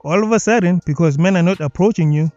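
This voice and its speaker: A South African man picks apart clips in a mocking, sardonic tone. His voice sits a bit high for a man. The recording is dead dry and slightly dull, with faint music under it. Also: quick pace, a little mellow, dry recording. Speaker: commentary video host. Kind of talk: sarcastic reaction commentary.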